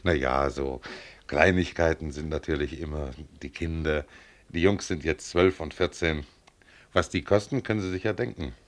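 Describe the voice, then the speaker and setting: A man's voice: extremely low pitch, moderate pace. An actor playing a modest, contented tram driver of about forty in a German radio play, plain-spoken, chatty and proud of his work. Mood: chatty, hopeful. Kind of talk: German radio play acting, conversational monologue.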